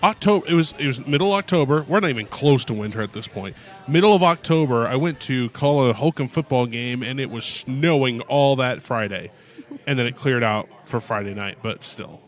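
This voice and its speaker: A young man hosting a podcast in a loud, booming voice. He rambles casually and laughs often. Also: animated, moderate pace. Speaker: podcast host. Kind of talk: casual chat.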